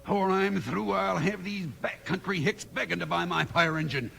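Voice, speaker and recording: A man's voice: sits high, normal speed, creaky, a bit bright, full character voice. An actor playing a slick, pushy, scheming salesman villain in a 1950s radio western. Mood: angry threatening. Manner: smug, pushy, threatening.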